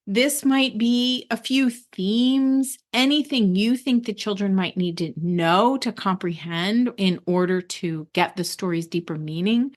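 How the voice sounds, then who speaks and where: Middle aged lady doing a scripted podcast, explains stuff expressively, voice goes up and down a lot. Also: mid-range voice. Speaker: podcast host. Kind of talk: scripted solo podcast talk on homeschooling.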